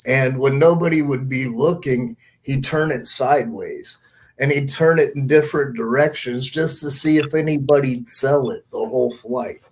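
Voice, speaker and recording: A man's voice: mid-range voice, normal speed, slightly rough. A man hosting a casual live podcast, with a showy, announcer-like way of talking. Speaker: podcast host.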